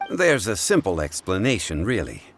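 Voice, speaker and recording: Deep-voiced, composed gentleman scholar. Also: wildly swooping pitch, a little hiss or hum, exaggerated voice. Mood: calm, assured. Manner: formal, composed, deliberate. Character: calm, refined gentleman scholar, measured and courteous. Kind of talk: scripted video game dialogue acting.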